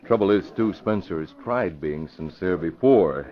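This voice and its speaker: An actor playing a composed young newspaper publisher in a radio drama, speaking in a frank, measured, conversational way. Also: male voice, deep voice, lively intonation. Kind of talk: 1940s radio acting. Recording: dull vintage radio broadcast recording.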